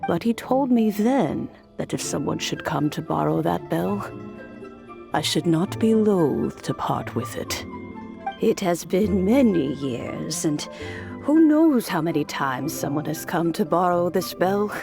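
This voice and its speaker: A woman playing a kindly old granny in a video game, unhurried, rambling and gently nostalgic. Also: on the low side, expressive, creaky, music in the background. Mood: wistful. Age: older. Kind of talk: video game character acting.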